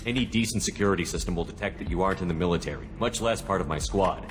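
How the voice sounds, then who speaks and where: A man playing a calm, no-nonsense military space commander in a video game, measured and matter-of-fact. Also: low-pitched, close to an everyday voice. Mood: skeptical, firm.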